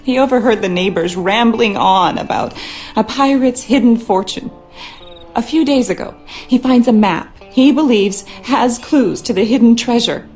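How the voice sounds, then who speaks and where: Woman acting a higher-voiced worried wife. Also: normal speed, a little mellow, soft background music, lightly acted. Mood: exasperated. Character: worried, skeptical wife and mother seeking help. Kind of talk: game character monologue.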